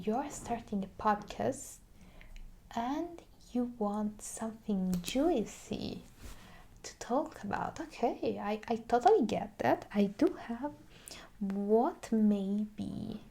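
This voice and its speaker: A woman doing a soft-spoken ASMR roleplay as a video game shop clerk, slipping into whispers, with a hesitant, halting delivery. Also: fairly high pitch, vocal fry, slight background noise.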